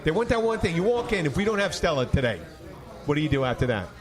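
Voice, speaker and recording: Higher-voiced man rambling, crowd behind. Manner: halting, rambling, excitable storytelling. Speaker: podcast host and bartender. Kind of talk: casual comic chat.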